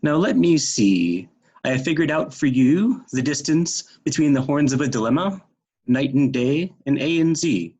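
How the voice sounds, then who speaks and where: A man playing a pedantic, pompous royal mathematician in a storybook readers theater, precise and emphatic, with a husky voice. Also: fairly high pitch, expressive, moderate pace, a little mellow, muffled recording. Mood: self-important.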